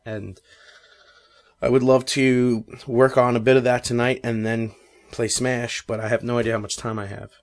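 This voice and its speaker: A man hosting a casual gaming podcast, rambling with many fillers while his voice is going hoarse. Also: medium pitch. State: losing his voice. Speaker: podcast host. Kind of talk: casual chat.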